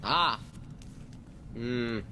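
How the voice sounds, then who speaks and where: An actor playing a deferential, methodical police sergeant in a radio mystery, careful and deliberate. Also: male voice, medium pitch, heavy background noise. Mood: dutiful. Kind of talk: radio mystery drama acting.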